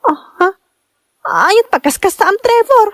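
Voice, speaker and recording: Very high-pitched pleading Ilocano wife, acted by a woman. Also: animated, unhurried, fairly bright tone, heavily acted. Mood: evasive. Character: cheating young wife, evasive and pleading. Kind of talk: Ilocano audio drama acting.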